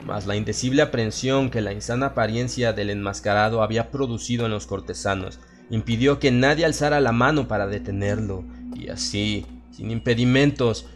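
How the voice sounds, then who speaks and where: A man with a Latin American Spanish accent reads a horror audiobook in a solemn, theatrical, dramatized style. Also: mid-range voice. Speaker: audiobook narrator. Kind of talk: dramatized scripted horror narration. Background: background music.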